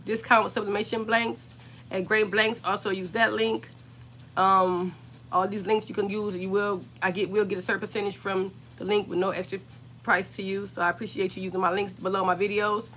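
A woman in her forties, hoarse and scratchy from being sick, chatting on a livestream from her home craft room and greeting viewers by name. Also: mid-range voice, bright, forceful tone. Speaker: craft channel host.

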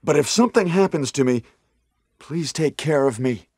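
A man's voice: fairly high pitch, expressive, normal speed, a little mellow, breathy edge, dry recording, clean studio sound. An actor playing a weary, caring young male police hero in a stilted nineties video game.

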